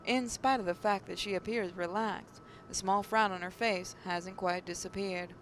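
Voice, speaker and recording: A gaming host with a creaky, mid-pitched voice reads visual novel text aloud and chats about it. Words occasionally trip and get repeated. The recording carries a noticeable rumbling noise. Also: steady tone, normal speed, fairly bright tone. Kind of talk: reading narration aloud, with casual commentary. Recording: clearly noisy mic with low rumble.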